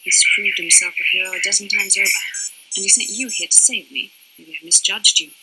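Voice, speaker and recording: An actress playing a proud young Jedi commander in a video game, imperious, clipped and formal in manner. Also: female voice, mid-range voice, fairly even intonation, fairly quick, background noise, lightly acted. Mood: relieved, earnest. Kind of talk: dramatic video game voice acting.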